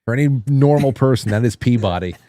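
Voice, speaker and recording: A man's voice: mid-range voice, fast talker, mellow, no room sound, clean studio sound. A man hosting a live call-in show in casual chat, joking and swearing freely as he talks. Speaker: radio show host.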